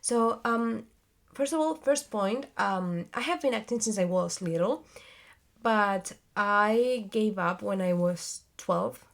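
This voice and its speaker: A woman in her twenties with a Spanish accent, a theater actress talking to camera in a casual vlog, often pausing with fillers and restarting as she searches for words. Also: mid-range voice, gravelly. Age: 20s.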